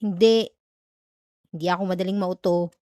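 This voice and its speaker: A woman's voice: fairly low pitch, steady tone, normal speed, dark tone, no echo, clean studio sound, lightly acted. A woman with a Filipino accent playing a jealous, easily irritated student girlfriend in an ASMR roleplay, defensive and clipped. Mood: curt, annoyed. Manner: defensive, clipped, teasing Taglish.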